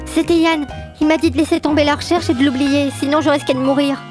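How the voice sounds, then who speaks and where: A woman's voice: high voice, steady tone, fast, music in the background, close to an everyday voice. A woman playing an anxious, polite client in distress in a French noir audio drama. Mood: worried.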